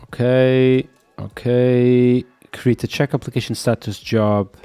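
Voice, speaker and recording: A man's voice: medium pitch, flat delivery, slow pace, dark tone, clear, resonant tone, faint music behind. Portuguese-accented man thinking aloud. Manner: mutters to himself, repeats "yep" as he reads. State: sick. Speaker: live-coding streamer. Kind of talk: thinking aloud while coding, chatting with viewers.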